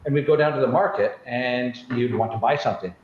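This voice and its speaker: A man's voice: medium pitch, brisk, slight background noise. Brief: man from Zimbabwe, anecdotal panel talk, very echoey and dull-sounding recording. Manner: anecdotal, with many "uh" fillers. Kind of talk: panel discussion, storytelling.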